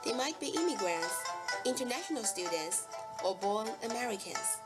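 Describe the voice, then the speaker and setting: A woman narrates a documentary from a script, her voice slightly high and carefully spoken. She sits under a loud music bed. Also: animated, normal speed.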